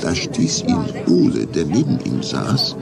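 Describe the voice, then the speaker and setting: A man narrating a children's radio play in an expressive storytelling manner. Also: deep voice, a little slow, vocal fry. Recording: music underneath.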